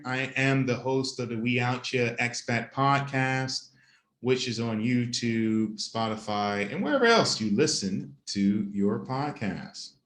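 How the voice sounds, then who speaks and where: A man works as an interview host, speaking in a measured, deliberate way. His voice sits at an ordinary male pitch, and the room adds a clear echo around it. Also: a bit bright. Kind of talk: interview hosting. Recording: roomy echo.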